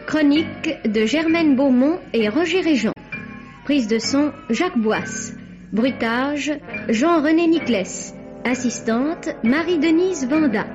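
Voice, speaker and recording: High-voiced woman announcer over loud music. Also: slow pace. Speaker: radio drama announcer. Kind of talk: formal scripted radio credits. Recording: dull vintage radio broadcast, music behind. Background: loud theme music.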